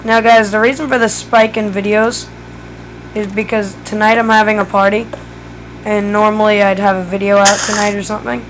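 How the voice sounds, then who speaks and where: Hoarse woman with a flat delivery. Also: medium pitch, moderate pace, a little hiss or hum, rough recording. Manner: casual, thinking aloud while building. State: losing her voice. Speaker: gaming tutorial creator. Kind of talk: casual step-by-step game tutorial.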